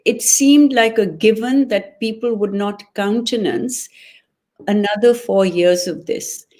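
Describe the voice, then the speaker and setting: Woman from Pakistan, interview guest, opinionated, measured delivery. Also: medium pitch, darkish tone. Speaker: guest, political club member. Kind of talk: conversational interview.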